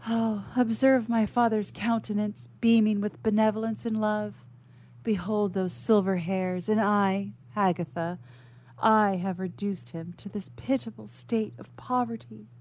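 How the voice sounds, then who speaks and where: A woman's voice: mid-range voice, fairly even intonation, measured pace, darkish tone, pitched above the performer's own voice, natural voice. Woman voicing a sweet, earnest young daughter. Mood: sorrowful. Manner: earnest, dutiful, formal period speech. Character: devoted, sweet young daughter of poor blind father. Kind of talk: melodramatic stage acting.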